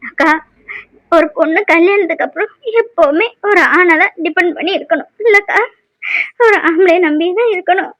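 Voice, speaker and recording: A woman's voice: very high-pitched, brisk, few pauses, fairly bright tone. A woman acting a timid, wronged young village single mother in an emotional drama, pleading in a tearful, plaintive way. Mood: sad, plaintive.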